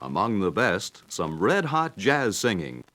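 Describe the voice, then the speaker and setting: A man's voice: fairly low pitch, very animated, unhurried, sharp tone. A man delivering scripted documentary narration. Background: background music.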